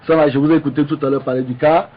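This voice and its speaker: A man, an opposition politician debating on the radio, halting with many restarts but insistent. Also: fairly high pitch, steady tone, lo-fi sound. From Togo.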